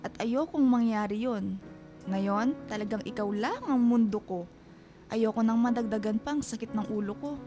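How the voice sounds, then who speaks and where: High-voiced, proud, chiding mother played by an actress. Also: normal speed, music in the background, close to an everyday voice. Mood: firm, motherly. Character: proud, self-reliant single mother, fondly scolding. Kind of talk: Filipino radio drama acting.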